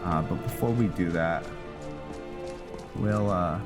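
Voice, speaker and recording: Male game host, deep and unhurried, halting, loud game music underneath. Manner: halting, many fillers and restarts. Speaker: roleplay gaming host. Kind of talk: casual gameplay commentary.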